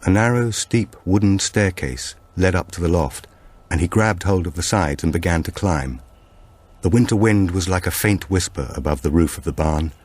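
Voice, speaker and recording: Low, husky male thriller narrator. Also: a little mellow. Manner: measured, suspenseful storytelling. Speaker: audiobook narrator. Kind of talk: dramatic scripted narration of a thriller.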